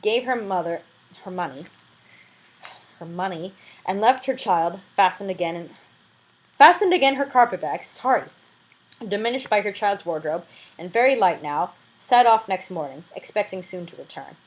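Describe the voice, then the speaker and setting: A woman's voice: mid-range voice, expressive, normal speed, rough recording. A woman reading a classic novel aloud as an amateur audiobook reader, with a dramatic, emphatic delivery and an informal touch.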